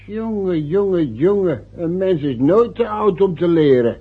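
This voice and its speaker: A dry, sardonic brother-in-law who answers in curt, needling remarks. His voice is high and husky. The recording has the dull, lo-fi sound of an old radio broadcast. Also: animated, a little slow, exaggerated voice. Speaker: the wife's brother. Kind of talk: vintage radio play acting.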